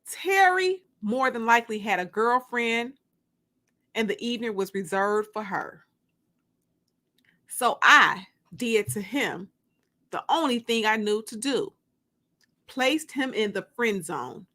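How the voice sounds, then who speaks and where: A woman giving an expressive, dramatic first-person reading of fiction, like an audiobook. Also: on the high side, slow, pauses often. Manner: expressive, acts out dialogue. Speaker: narrator reading fiction aloud.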